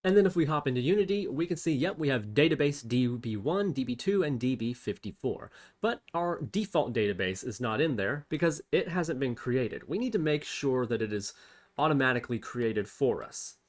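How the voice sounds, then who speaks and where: A man's voice: medium pitch, expressive, moderate pace. A man hosting an unscripted programming tutorial, thinking aloud step by step as he types. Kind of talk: unscripted screen-recorded coding tutorial.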